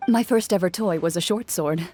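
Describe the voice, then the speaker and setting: A woman voicing a calm, reserved young duelist in an anime-style game, speaking formally and matter-of-factly. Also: fairly low pitch, normal speed, soft background music, close to an everyday voice. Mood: reflective.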